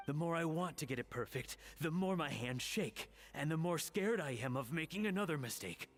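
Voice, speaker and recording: A man's voice: a little high, lively intonation, moderate pace, natural voice. A man voicing a dejected, self-doubting young cook in a video game, speaking in an earnest, hesitant and downcast manner. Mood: anxious. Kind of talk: English video game voice acting.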